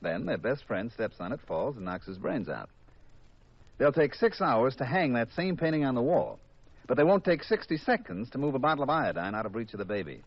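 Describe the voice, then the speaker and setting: A man's voice: mid-range voice, lively intonation, brisk, husky, no room sound. An actor playing a cynical, long-winded insurance man in a 1950s radio drama, with an exasperated lecturing manner. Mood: exasperated, sardonic. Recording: muffled vintage 1950s radio recording.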